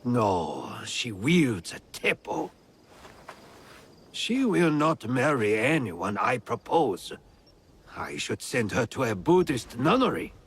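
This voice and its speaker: Slow, stern samurai lord. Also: male voice, mid-range voice, big pitch swings, breathy edge, heavily acted. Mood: brooding. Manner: deliberate, formal. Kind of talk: video game cutscene voice acting.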